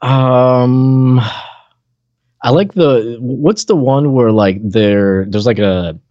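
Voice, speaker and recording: A man's voice: medium pitch, drawn-out words, warm tone, no echo. Laid-back man on a dull, dry mic. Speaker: podcast co-host. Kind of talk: casual chat.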